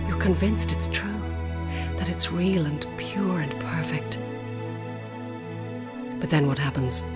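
A woman playing a wistful, lovelorn broadcaster in a radio drama, delivering a measured, intimate, poetic monologue. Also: sits low. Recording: loud new-age music under the voice.

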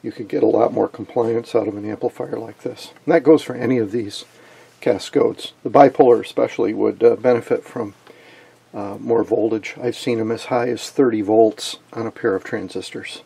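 A man presenting an unscripted technical demonstration in a halting, matter-of-fact way. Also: on the low side, fairly even intonation, vocal fry, warm tone, breathy edge. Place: indoors at an electronics workbench.

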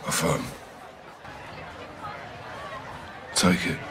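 A man playing a gruff, determined special forces captain in a video game, giving terse military orders. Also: fairly quick, a bit bright, faint music behind, some chatter behind, natural voice. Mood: low, businesslike.

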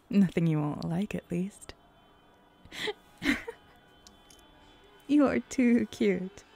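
A woman voicing a flirty, sly female hellhound in a roleplay ASMR audio drama, teasing and seductive with breathy, whispery turns. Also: animated, drawn-out words, pauses often, warm tone, faint music behind, exaggerated voice. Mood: coaxing, flirty. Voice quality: low, husky, creaky.

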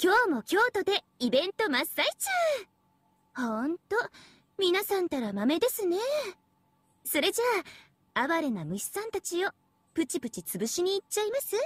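A young female AI devil girl for an anime game. The voice is very high, sweet and girlish, with a dry studio sound. She is smug and playful, talking down to people with mock politeness. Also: big pitch swings, moderate pace, long pauses, a bit bright, no room sound, full character voice. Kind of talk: Japanese anime game voice acting.

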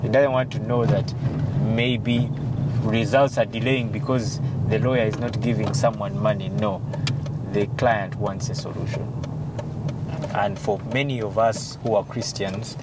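A man in his forties with a Ugandan English accent, a law firm partner explaining things in a measured way with many fillers, interviewed in a moving car. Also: steady tone. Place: passenger seat of a car. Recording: loud car road noise. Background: engine and road rumble.

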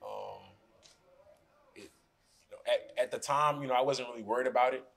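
A man's voice: mid-range voice, moderate pace. A young man in his twenties, a basketball player answering questions at a postgame press conference, speaking loosely with many "you know"s into podium mics. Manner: relaxed, fills with "you know" often. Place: press room in front of a sponsor backdrop. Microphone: podium mics in front of him.

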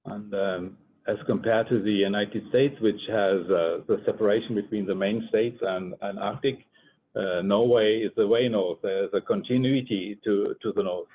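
A man's voice: steady tone, moderate pace, breathy edge. Older man, phone-like sound. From Germany. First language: German. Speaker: research scientist giving a presentation. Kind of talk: conference presentation. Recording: muffled phone-like line, rumbling noise.

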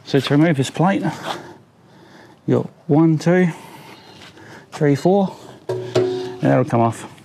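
A man's voice: a little high, slow pace, creaky, pauses often, soft background music. A man, talking casually while working on his car outdoors on a driveway. He mutters his thoughts aloud between tasks, with plenty of fillers. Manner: relaxed, thinking aloud, many fillers. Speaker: vlogger. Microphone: camera on tripod, then handheld camera at arm's length.

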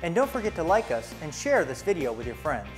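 A man's voice: a little high, big pitch swings, brisk, music in the background. A man in his thirties with an American accent presents a scripted explainer in a plain, instructional way. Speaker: presenter for a home heating and cooling company.

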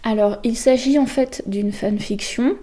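A woman guest explaining things casually in an interview, with hesitant, halting phrasing. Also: on the high side, mellow. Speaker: guest presenting a volunteer project.

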